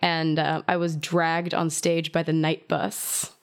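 Interview guest from Germany; lowish voice, relaxed, reflective answers. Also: female voice, takes it slowly. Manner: relaxed, thoughtful, laughs lightly. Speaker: guest, drag performer.

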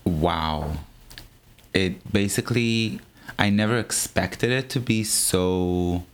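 Man, low voice. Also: slow pace, clean tone. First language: Hebrew. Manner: animated, laughing, restarts sentences. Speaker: drag performer guest. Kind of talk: casual interview chat.

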